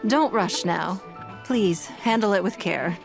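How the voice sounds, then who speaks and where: A woman's voice: mid-range voice, slow pace, gravelly, soft background music. A woman voicing a poised, gracious and wealthy woman of influence in a video game, speaking formally and articulately. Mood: composed, assured.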